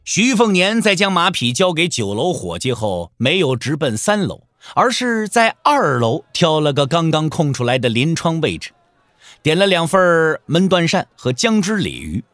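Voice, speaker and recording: A male narrator tells a martial-arts tale with theatrical emphasis. His voice sits slightly high and moves widely in pitch, drawing out key endings, in a dry studio sound. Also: very animated, moderate pace, a bit bright, no room sound. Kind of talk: dramatic scripted audiobook narration. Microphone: close studio microphone.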